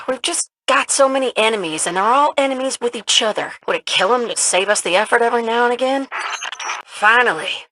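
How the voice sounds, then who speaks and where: A woman's voice: on the high side, a little fast, pushed and bright, rough recording. A woman voicing a scrappy, irreverent female pilot in a video game, with a folksy, informal, sardonic manner.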